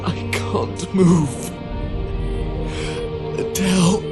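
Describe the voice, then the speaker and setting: A man's voice: high voice, steady tone, drawn-out words, loud music behind the voice. An actor playing a weak, desperate dying man in a game cutscene, struggling and gasping as he speaks. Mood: desperate, failing. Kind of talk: dramatic game cutscene acting.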